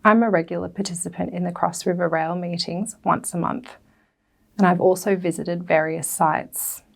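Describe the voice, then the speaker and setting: A woman interpreting a prepared talk aloud, lower-pitched for a woman and gravelly. She speaks carefully in short phrases. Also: steady tone, normal speed. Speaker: sign language interpreter voicing a deaf speaker.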